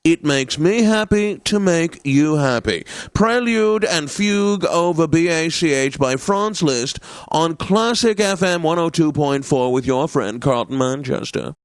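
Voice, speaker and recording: An actor playing an effete, snobbish classical radio DJ in a video game, with flowery, theatrically drawn-out enunciation and a pompous manner. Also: male voice, mid-range voice, no echo.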